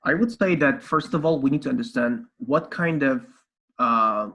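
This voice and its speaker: A middle-aged man talks as an interview guest with a medium-pitched voice. He explains like a teacher, with emphasis, often repeating a phrase before he moves on. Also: normal speed, pushed and bright. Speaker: podcast guest, language teacher.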